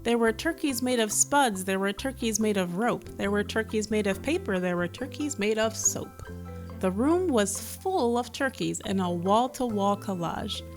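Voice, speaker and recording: A woman's voice: medium pitch, lively intonation, moderate pace, soft background music. A woman reading a children's picture book aloud, engaging the listener with questions and asides. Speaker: children's storybook reader. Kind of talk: read-aloud storytelling with asides to young listeners.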